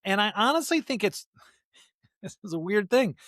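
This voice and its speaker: Male podcast co-host, slightly high voice, close dry sound, emphatic and discursive on religious matters. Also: expressive, moderate pace, a bit bright, no room sound. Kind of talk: unscripted theological discussion.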